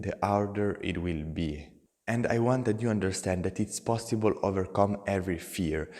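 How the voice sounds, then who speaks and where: A man's voice: lowish voice, darkish tone, long reverb tail. A young man with an Italian accent, talking earnestly to camera as a motivational channel host, in simple non-native phrasing.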